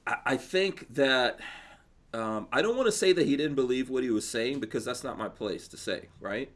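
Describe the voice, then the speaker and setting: A man's voice: medium pitch, moderate pace. Male podcast host, easygoing and chatty, mid-range voice. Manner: relaxed, chatty, drifts into mumbled asides. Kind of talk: casual chat, reading viewer comments.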